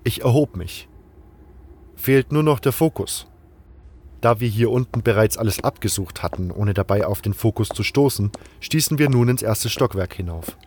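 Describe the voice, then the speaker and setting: A man's voice: on the low side, animated, normal speed, a little mellow, slightly rough. An actor playing an adventurer who recounts his quest in the first person, as a storyteller in a scripted audio drama. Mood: in dialogue.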